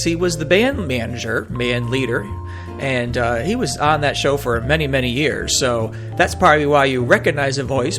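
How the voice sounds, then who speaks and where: A bright, forceful male voice over a soft music bed. He hosts a radio program in a chatty, folksy way, pitched slightly high. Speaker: radio show host. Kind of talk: casual introductions to old radio shows.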